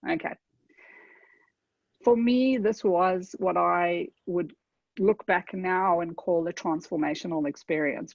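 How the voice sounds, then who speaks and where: Gravelly South African woman presenting. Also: fairly low pitch, darkish tone, no room sound, dull audio. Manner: conversational, addresses audience directly with frequent "okay?". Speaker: webinar presenter. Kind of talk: webinar presentation.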